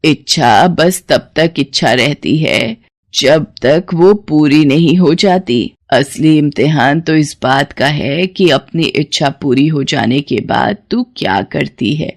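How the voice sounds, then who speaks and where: A woman's voice: deep voice, expressive, takes it slowly, voice pushed down, exaggerated voice. A woman voicing a kindly old beggar woman in a story, solemn, wise and benevolent.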